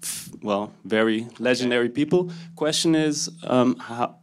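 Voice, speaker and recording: A man's voice: mid-range voice, a little slow, clear, resonant tone. A man in the audience asking a question about a film he is writing, hesitating as he goes.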